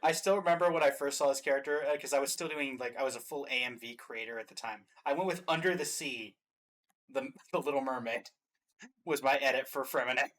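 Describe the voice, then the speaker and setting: A man's voice: mid-range voice, steady tone, a little fast, fairly bright tone. A man co-hosting a reaction video, chatting casually as he ranks game characters. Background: faint music.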